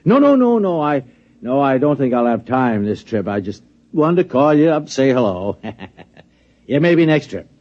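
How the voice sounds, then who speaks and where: A man's voice: medium pitch, big pitch swings, normal speed, lightly acted. A man playing a genial government official who coaxes and placates in an American accent, hesitant and repeating himself, in a 1950s radio drama. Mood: chummy, awkward. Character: genial, coaxing government man plying a guest for secrets. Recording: muffled old radio broadcast recording.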